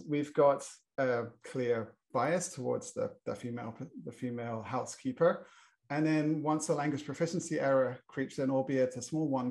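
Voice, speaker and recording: A man's voice: mid-range voice, a little mellow. A middle-aged British man moderating a panel, introducing the topic with many fillers and restarts. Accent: British English. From Britain.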